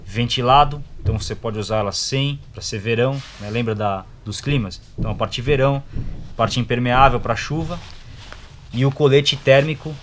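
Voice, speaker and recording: A man's voice: medium pitch, normal speed. A young man with a Brazilian accent giving a casual, slangy product review in short clipped phrases. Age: young adult. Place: indoors in a motorcycle gear shop. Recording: clear background noise, shop ambience.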